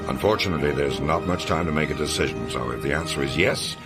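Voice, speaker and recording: A man playing a commanding, confident American intelligence officer, delivering a measured, authoritative mission briefing in a video game. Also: very deep voice. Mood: brisk, persuasive. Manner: authoritative, measured, matter-of-fact. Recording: music under the voice. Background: background music.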